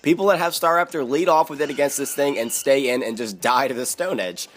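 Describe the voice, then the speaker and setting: Casting: hoarse Philly-young man, chatty gaming commentary, faint music bed. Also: mid-range voice, brisk. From Philadelphia, USA. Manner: chatty, strategizing aloud, frequent fillers. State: hoarse, raspy from yelling. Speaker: gaming YouTuber. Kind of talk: live game commentary.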